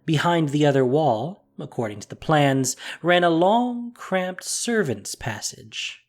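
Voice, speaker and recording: A man reading a fantasy story aloud in a measured, expressive way. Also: on the high side. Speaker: narrator. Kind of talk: storybook narration.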